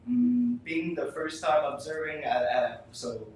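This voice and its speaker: A young man from Taiwan, a policy researcher, speaking on a panel in a measured, slightly formal way. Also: fairly high pitch, sing-song, slight background noise. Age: young adult. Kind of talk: casual panel conversation. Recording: echoey room, distant mic.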